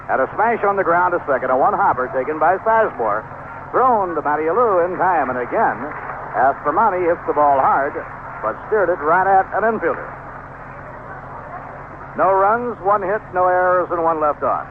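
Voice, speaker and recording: A man's voice: high voice, animated, moderate pace. An American man calling baseball play-by-play as a live radio commentator. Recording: narrow, noisy 1970s AM radio broadcast.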